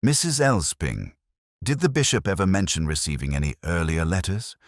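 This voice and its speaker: Male reader as shrewd mystery-novel inspector: very deep, mild, courteous, understated, measured questioning. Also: big pitch swings, no room sound, clean studio sound. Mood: quietly probing.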